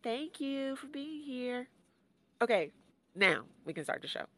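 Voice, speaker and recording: A woman in her forties from Chicago hosting a podcast, talking frankly and jokingly in a deep, nasal voice. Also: sing-song, a little slow, a bit bright. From Chicago, USA. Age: 40s. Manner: frank and joking, laughs often. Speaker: podcast host. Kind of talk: casual candid monologue.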